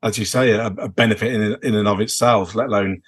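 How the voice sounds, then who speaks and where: A British man co-hosting a podcast in casual, unscripted chat, with a halting, rambling way of building his sentences. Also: on the low side, steady tone, breathy edge. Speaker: podcast co-host.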